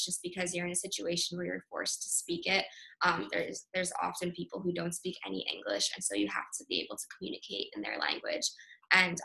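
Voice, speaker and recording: Young woman, Polish native, bright and gravelly. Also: lowish voice, rough recording. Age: young adult. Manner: chatty, many ums and restarts. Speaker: student panelist. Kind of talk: Q&A panel answer.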